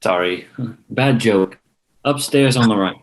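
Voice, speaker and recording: A man's voice: lowish voice, unhurried, lightly acted, rough recording. A man playing a friendly, joking, easygoing suitor at a screenplay table read. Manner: casual, easygoing.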